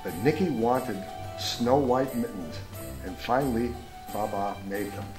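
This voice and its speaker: A man with a medium-pitched voice narrates a picture book for children. He reads expressively and steps out of the text to speak to his young listeners. Music plays behind him. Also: poor audio. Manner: expressive, pausing to ask listeners questions about pictures. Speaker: storybook reader, school superintendent. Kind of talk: read-aloud children's storytime narration with asides to young listeners.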